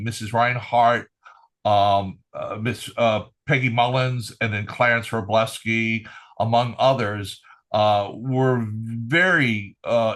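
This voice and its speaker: A man's voice: fairly low pitch, fairly bright tone. Older man telling stories with many fillers. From Finland. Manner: rambling, many "uh" fillers, good-humored. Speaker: guest, retired military trumpeter. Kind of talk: relaxed podcast interview storytelling.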